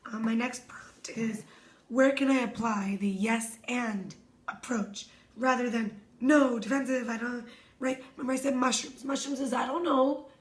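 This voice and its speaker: A hoarse woman with a somewhat high voice talks in a gentle, meditative way, often sinking to a whisper. She sounds like a wellness coach sharing reflective self-help prompts. The recording carries a noticeable room echo. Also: steady tone, measured pace. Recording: echoey room.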